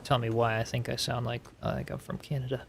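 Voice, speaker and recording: A man's voice: mid-range voice, fast talker, creaky, husky. A man streaming a building game, chatting casually with his viewers and reading chat aloud, rambling with lots of filler words. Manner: relaxed, rambling, reads chat aloud, frequent likes and you-knows.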